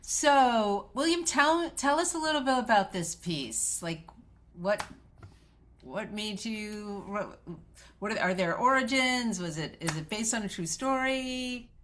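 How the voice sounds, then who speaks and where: A woman's voice: on the high side, animated, a little slow, a bit bright, slight background noise. A woman moderating a writers' Q&A in a conversational, effusive and appreciative way. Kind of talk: conversational interviewing.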